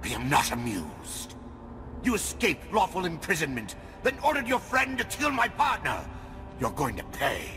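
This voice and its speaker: Vengeful lawman, wildly animated pitch. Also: normal speed. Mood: angry, vengeful. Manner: stern, declamatory. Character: vengeful, self-righteous lawman hunting criminals. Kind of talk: dramatic video game acting. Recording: music behind the voice. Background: game score music.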